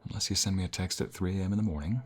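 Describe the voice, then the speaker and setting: A man playing a caring, encouraging college professor in an intimate ASMR role play, speaking softly in a gentle, unhurried, reassuring way. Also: low-pitched, slightly rough. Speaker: voice actor in an audio role play.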